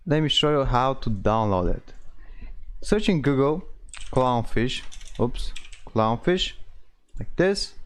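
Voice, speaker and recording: A man hosting an informal software tutorial, explaining step by step in non-native English phrasing. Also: mid-range voice, clean tone. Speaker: tutorial host.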